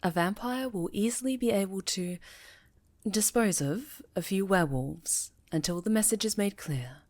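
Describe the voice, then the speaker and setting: A woman playing a stern, commanding witch who leads a coven, speaking formally and with measured authority in an ASMR fantasy roleplay. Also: on the low side, darkish tone. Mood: firm. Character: stern, commanding female high enchantress leading a witch coven.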